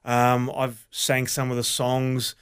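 An Australian man with a smooth, clear voice tells his story in an interview. He speaks earnestly at a middle pitch, halting and circling back as he searches for words. He is a pastor sharing his testimony. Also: completely flat delivery, a bit bright. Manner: earnest, halting, many restarts. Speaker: associate pastor, guest.

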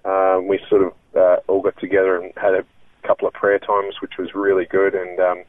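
A low-voiced Australian man talks earnestly about his life as a guest, stopping and restarting as he goes. He comes through a tinny, narrow phone line with a dry, close sound. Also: monotone, no room sound. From Melbourne, Australia. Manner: earnest, halting, many restarts. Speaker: guest comedian and impressionist. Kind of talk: casual interview.

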